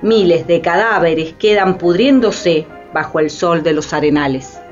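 A woman performing as a grave narrator in a historical radio play, with deliberate scripted delivery. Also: lowish voice, lightly acted. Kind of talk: scripted dramatized narration in Spanish. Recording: dull lo-fi radio play, faint music.

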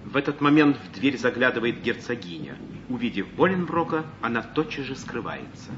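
A man's voice: mid-range voice, sing-song, a little fast. A man narrating the stage action of a play as a scripted announcer. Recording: dull old broadcast recording, faint noise.

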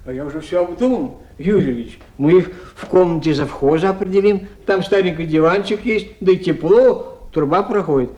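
An actor in a 1970s radio drama playing a kindly subordinate with an eager, obliging manner. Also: male voice, high-pitched, normal speed, few pauses, mellow, muffled recording, lightly acted.

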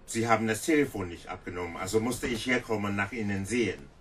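A man's voice: fairly low pitch, quick pace, a bit bright, slight background noise, natural voice. A man playing a sly, mocking Nigerian fixer in a radio play, with a taunting, insinuating way of talking. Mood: quiet, serious.

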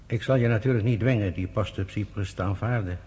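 An actor in a radio play, playing a pragmatic, avuncular senior British official with a husky, urbane, confiding manner. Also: male voice, fairly low pitch, a little fast, a little mellow, slight background noise, natural voice. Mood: wry, persuasive. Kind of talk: Dutch radio play acting.

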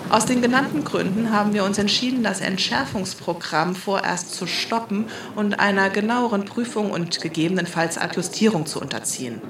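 A woman's voice: medium pitch, moderate pace, few pauses, close to an everyday voice. A woman playing a female official in a radio play, reading a formal, callous bureaucratic statement in stiff officialese. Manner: stiff officialese, stumbling over the text. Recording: echoey, distant microphone with crowd noise.

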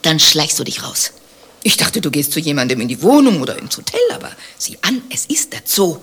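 An actress playing an imperious, accusing wife in a satirical radio play, scornful and commanding, with a husky voice and theatrical delivery. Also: female voice, sits low, big pitch swings, fairly quick, fairly bright tone, full character voice. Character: imperious, accusing wife who suspects her husband.

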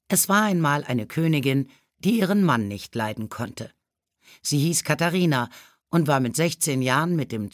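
A woman's voice: a bass voice, no room sound, clean studio sound. A woman reading a biographical story in a scripted storybook narration style. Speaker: audiobook narrator.

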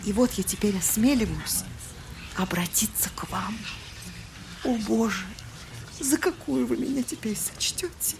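A slow, high, sentimental society lady. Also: lively intonation, gravelly, noisy background. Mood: anguished, timid. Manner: gushing, halting, melodramatic. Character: sentimental, effusive society lady, anguished and exalted. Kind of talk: theatrical Russian audio drama acting.

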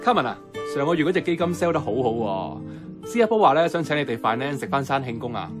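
Casting: actor as chatty male office colleague, Hong Kong Cantonese, brisk delivery, music behind. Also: mid-range voice, very animated, natural voice. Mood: coaxing. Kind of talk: radio drama dialogue.

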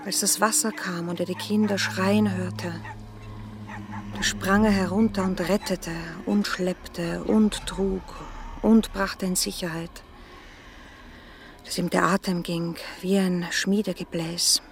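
An elderly gardener's wife in a radio drama, simple and kindly. She speaks slowly with a gravelly creak, halting in her grief as she tells her heartfelt tale. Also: on the low side, level tone, slight background noise. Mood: sorrowful, tender. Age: older.

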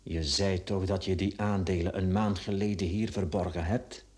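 A man's voice: deep voice, steady tone, moderate pace, darkish tone, lightly acted. An actor playing a proud, stubborn middle-aged man in a radio drama, forthright and insistent. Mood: suspicious.

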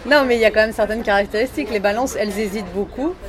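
A woman from France, a comedian answering interview questions in a dry, measured way that trails off quietly. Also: medium pitch. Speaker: comedian guest. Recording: outdoor interview with loud crowd behind.

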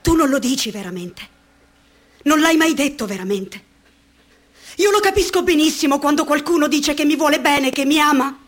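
A lonely young woman in a radio play. Her voice sits high and light. She speaks in a hushed, slow, musing way. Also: animated. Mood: bitter, taunting. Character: lonely, dreamy young woman, fragile and provocative. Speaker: actress in a radio drama.

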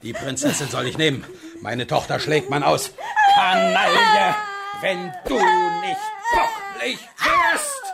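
A man's voice: very high-pitched, wildly swooping pitch, takes it slowly, gravelly, very noisy, exaggerated voice. Shrieking, blustering tyrant king. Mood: raging, shrieking. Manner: blustering, swings from scolding to shrieking. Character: tyrannical, raging, self-pitying King of Prussia. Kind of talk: radio play acting.

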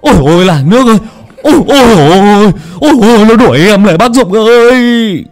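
A man's voice: high voice, expressive, fairly quick, faint music behind, voice pushed up. A man voicing a terrified young village man in a horror story, stammering and wailing for help. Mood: panicked wailing.

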